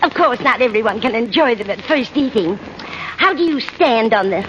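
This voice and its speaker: A woman's voice: a little high, lively intonation, normal speed, a bit bright. An actress playing a kindly, scatterbrained landlady, earnest and chirpy, in an old radio comedy. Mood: earnest, fond. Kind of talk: 1940s-50s radio comedy acting. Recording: muffled old radio, faint noise and audience.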